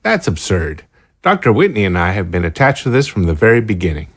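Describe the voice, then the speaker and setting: A man's voice: lowish voice, big pitch swings, fairly quick. A man acting in an audio drama as a principled, stubborn research scientist who sputters in formal, incredulous protest. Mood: outraged.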